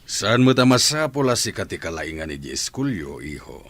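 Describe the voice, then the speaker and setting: A man's voice: sits low, very animated, moderate pace, a bit bright, exaggerated voice. A middle-aged man acting a strict father who scolds his daughter in an audio drama. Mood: stern. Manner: firm, scolding.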